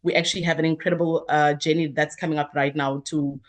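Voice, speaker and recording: Woman, thirties, low voice; earnest interview guest who talks at length with fillers. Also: level tone, fast. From South Africa. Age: 30s. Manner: earnest, expansive, many fillers and restarts. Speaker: guest, activist. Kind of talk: interview answers.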